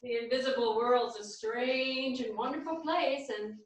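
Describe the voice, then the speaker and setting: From across an echoing theater comes a high, unhurried voice. It is a woman acting a chatty, dreamy widow with Zen leanings, in a staged play reading. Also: natural voice. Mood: wistful. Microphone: far camera. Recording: echoey theater, distant camera microphone.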